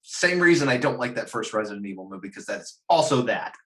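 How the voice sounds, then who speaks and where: A man on a casual film panel whose pitch leaps and dips freely as he talks. He rants bluntly and swears often, in an informal conversational style. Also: animated, fairly quick. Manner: blunt, profane ranting. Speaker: podcast panelist. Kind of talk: casual chat, film discussion.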